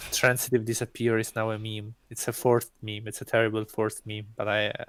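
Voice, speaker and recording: A man's voice: on the low side, little pitch movement, moderate pace, a bit bright, clear, resonant tone, no echo, low-quality recording. A man casually reading a visual novel aloud and bantering about it as he goes. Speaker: visual novel reader and commentator.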